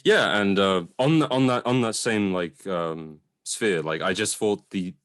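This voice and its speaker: A man's voice: lowish voice, unhurried. A man co-hosting a casual review discussion, speaking informally and opinionatedly with some swearing. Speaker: podcast co-host reviewing films.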